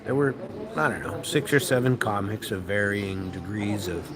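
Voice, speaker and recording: A man's voice: lowish voice, animated, normal speed, crowd noise. An American man and radio host telling a rambling story with many fillers and restarts. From United States. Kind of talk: casual storytelling monologue. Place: restaurant or bar, eating while talking.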